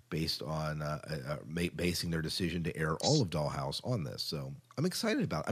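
Very low-voiced man, casual podcast host. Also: breathy edge. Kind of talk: casual chat about TV show news.

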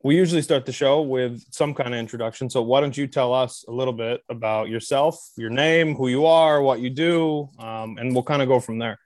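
A man's voice: medium pitch, a little fast, few pauses, a little mellow, dry recording. A man interviewing a guest on a podcast, asking curious, laid-back questions. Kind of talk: casual interview.

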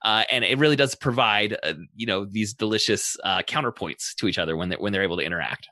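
A man hosting a casual, analytical podcast discussion in a halting, thoughtful way. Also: on the low side, sharp tone, no echo.

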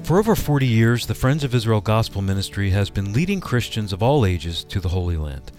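A man's voice: fairly low pitch, sing-song, a little fast. A man reading a scripted radio advert as its announcer. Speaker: promo announcer. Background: soft music bed.

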